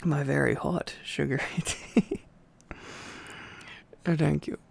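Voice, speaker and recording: A drowsy man speaks slowly with a heavy creak in his voice. He is playing an affectionate boyfriend in an intimate ASMR roleplay, rambling softly and often dropping to a half-whisper. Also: on the high side, steady tone. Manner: sleepy, affectionate, rambling, murmuring. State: tired.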